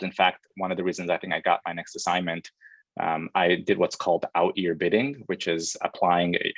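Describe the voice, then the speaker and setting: Man, thirties, very low voice, dry close sound, video call, halting answers. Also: no echo, rough recording. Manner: hesitant, many restarts and ums. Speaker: Foreign Service officer, alumnus guest. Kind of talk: casual panel Q&A answers.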